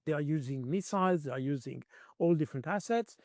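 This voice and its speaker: A man's voice: a little high, expressive, normal speed, a little mellow, no room sound, studio quality. A man from Switzerland giving spontaneous political analysis as an interview guest. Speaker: interview guest, former military intelligence analyst.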